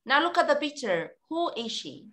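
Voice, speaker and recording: Woman teacher on a video call, encouraging and slow-spoken, bright and somewhat high voice. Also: sing-song. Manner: encouraging, slow and clear, lots of praise. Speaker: English teacher. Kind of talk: online speaking test with a child.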